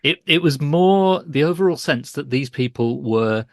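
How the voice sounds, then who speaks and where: A man's voice: on the high side, lively intonation, moderate pace, dry recording, clean studio sound. A man co-hosting a podcast interview, chatting casually and thinking aloud as he puts questions.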